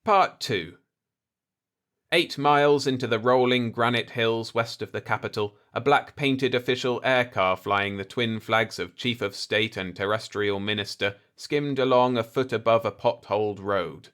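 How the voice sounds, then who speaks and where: A man's voice: mid-range voice, measured pace, a bit bright. A man reading scripted science fiction narration as an audiobook narrator.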